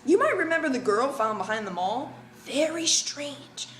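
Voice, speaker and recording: Male actor as nervous young canvasser woman, high, animated voice, roomy sound, comic dub. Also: normal speed, faint music behind, full character voice. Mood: curious.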